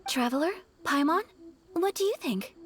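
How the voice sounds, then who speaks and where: An actress playing a shy, polite young noblewoman in a video game, formal and gently hesitant. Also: high-pitched, expressive, moderate pace. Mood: polite, curious.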